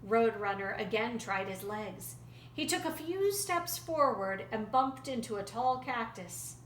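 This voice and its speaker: A woman storyteller narrating a folktale in an expressive, dramatic and deliberate way. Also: on the high side. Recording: roomy echo, faint background noise.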